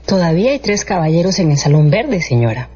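A woman's voice: fairly low pitch, very animated, fairly quick, mellow, breathy edge. A woman playing a dutiful, matter-of-fact lady's maid in a radio drama. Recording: dull, faintly noisy radio recording.

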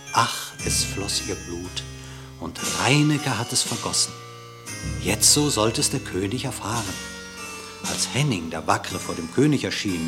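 A man narrating a literary verse tale for a radio drama, in a measured, solemn storytelling manner. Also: sits low. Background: plucked guitar music.

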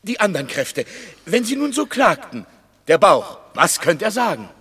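An actor playing a bitter Roman commoner leading hungry rebels in a radio drama, blunt, challenging and sardonic. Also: male voice, high-pitched, big pitch swings, unhurried, pushed and bright, breathy edge, exaggerated voice. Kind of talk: classical German stage-style radio drama acting. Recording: long reverberant hall.

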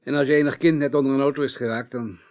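An actor playing a humane, wry undertaker in a radio drama, measured and reflective, with gentle amusement. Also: male voice, mid-range voice, fairly even intonation, lightly acted. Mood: reflective, compassionate. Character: humane, wry male undertaker, a former parliamentarian. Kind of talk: naturalistic Dutch radio drama acting.

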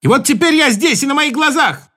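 A man's voice: high-pitched, big pitch swings, normal speed, fairly bright tone, full character voice. A man doing a tearful, resentful husband. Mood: aggrieved. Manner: self-pitying, tearful outburst. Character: hurt husband, resentful and near tears.

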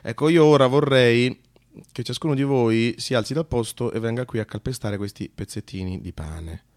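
A man playing a provocative bishop in a storytelling monologue, prodding and challenging his congregation with hesitant pauses. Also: mid-range voice, dry recording, natural voice. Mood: coaxing. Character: provocative South American bishop addressing his rich congregation.

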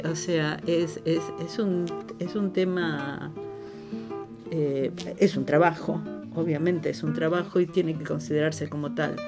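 A woman with an Argentine accent giving her opinion conversationally, thinking aloud as she goes. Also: low-pitched. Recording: plucked guitar music behind voice.

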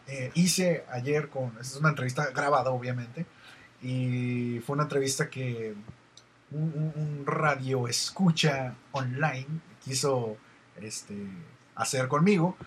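A man's voice: mid-range voice, fairly bright tone, a little hiss or hum. A man with a Mexican accent hosting an online radio show, chatty and meandering as he reads listener messages. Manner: chatty, meandering, many fillers. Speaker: radio host.

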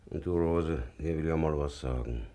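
A man's voice: rumbling low, normal speed, dark tone. An actor playing a stern, pious old father in a radio play, exasperated and reproachful. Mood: scolding. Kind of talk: naturalistic radio play acting.

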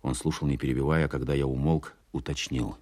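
Very deep-voiced wartime narrator. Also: male voice, fairly even intonation, fast talker, a little mellow. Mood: reflective. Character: reflective male wartime counterintelligence captain narrating his story. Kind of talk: first-person dramatised narration.

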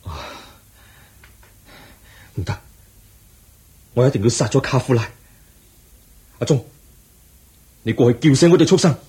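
A man's voice: mid-range voice, expressive, unhurried, pauses often, dark tone, close to an everyday voice. A man acting a young exiled prince bent on avenging his father, declamatory and emotional, in a radio drama. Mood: vengeful, resolute. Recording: echoey radio drama recording.

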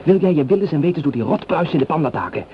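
An actor playing an earnest, idealistic young French soldier in a Dutch radio play, speaking in a thoughtful, measured way. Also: male voice, fairly high pitch, expressive, lightly acted. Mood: pleading. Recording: muffled, noisy old radio play recording.

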